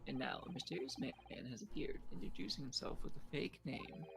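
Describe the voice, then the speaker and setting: Gravelly man in a casual game playthrough. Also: mid-range voice, a little fast, background noise. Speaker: player reading game dialogue with friends. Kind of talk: casual group game playthrough with read-aloud dialogue.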